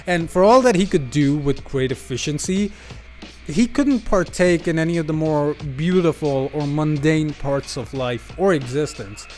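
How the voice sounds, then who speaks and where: Higher-voiced man doing casual game commentary. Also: moderate pace, darkish tone, soft background music. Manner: slangy, jokey, emphatic. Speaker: video game commentary YouTuber. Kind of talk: casual, unscripted game analysis monologue.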